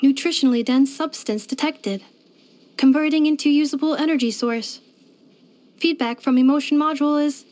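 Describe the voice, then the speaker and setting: A woman's voice: sits high, darkish tone. A woman voicing a polite, devoted household robot companion in a video game, speaking in formal, precise, computer-like phrasing. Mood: methodical, polite. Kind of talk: scripted video game character voice lines.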